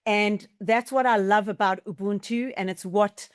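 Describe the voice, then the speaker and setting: A woman's voice: medium pitch, steady tone, normal speed, smooth. A middle-aged woman with a South African accent, answering interview questions in an emphatic, explanatory, conversational way. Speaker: podcast guest, team-building business leader.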